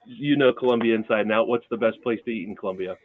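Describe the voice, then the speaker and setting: A man's voice: fairly low pitch, quick pace, dry recording. A man hosting a show, chatting casually as he interviews a guest over thin, phone-like audio. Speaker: host.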